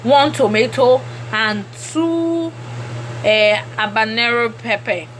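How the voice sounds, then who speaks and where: A Nigerian woman whose first language is Yoruba, talking through a cooking tutorial in a halting way with many fillers. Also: on the high side, fairly bright tone, low-quality recording. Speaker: cooking vlogger. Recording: background noise.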